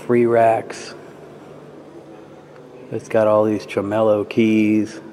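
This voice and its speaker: A man's voice: lowish voice, monotone, extremely slow, dark tone. A man giving a casual, awed commentary to himself as he films a handheld video tour inside a church. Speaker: amateur videographer and music fan. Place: inside a church beside the organ. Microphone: handheld camera, operator behind it. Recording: clear background noise, handheld camera audio.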